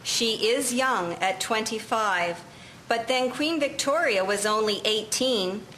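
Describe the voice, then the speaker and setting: A woman playing a firm, proper 1950s schoolteacher in an amateur audio drama, speaking in a measured, formal way. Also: fairly high pitch, fairly bright tone, slight background noise, low-quality recording. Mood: composed, instructive. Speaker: actor in an amateur history drama.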